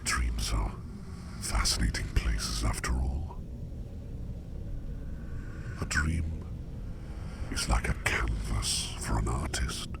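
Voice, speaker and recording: A curious, courteous sleep demon played by a man murmurs in an ASMR roleplay. The voice is rock-bottom low and heavily creaky, sinking into breathy whispers. The phrases come slowly, in formal, old-fashioned wording, over clearly audible noise. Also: heavily acted. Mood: musing. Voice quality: very deep, gravelly, breathy whisper. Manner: slow, measured, formal old-fashioned diction. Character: curious, gentle, formal male sleep demon, dream walker.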